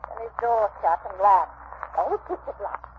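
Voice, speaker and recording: A woman's voice: mid-range voice, very animated, moderate pace, lightly acted. An actress playing an anxious, deferential working-class landlady in an old radio drama. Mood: earnest. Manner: flustered, deferential, chatty. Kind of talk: old-time radio acting. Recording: muffled, noisy old radio recording.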